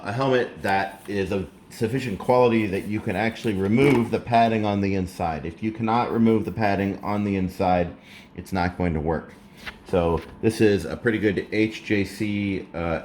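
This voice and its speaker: Low-voiced man giving a casual tutorial, background noise. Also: darkish tone. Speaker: presenter of a how-to video. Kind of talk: casual hands-on tutorial narration. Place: indoors, living room at a table. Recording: clear background room noise.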